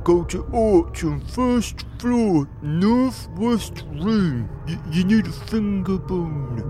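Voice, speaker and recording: Fearful fake-zombie informant: high, mellow, slow and stammering, over music. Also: male voice, animated. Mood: terse, secretive. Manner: halting, stammering, broken simple grammar. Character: fearful anarchist spy disguised as a zombie corpse. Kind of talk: audio drama character acting.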